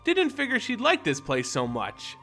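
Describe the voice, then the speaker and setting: A man's voice: extremely high pitch, huge pitch swings, normal speed, vocal fry, pushed and bright, soft background music, voice pushed up, exaggerated voice. A man voicing a teasing, sardonic female ghost hunter in a fantasy storybook reading. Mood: wry teasing. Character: wry, teasing adventurer woman, ghost hunter.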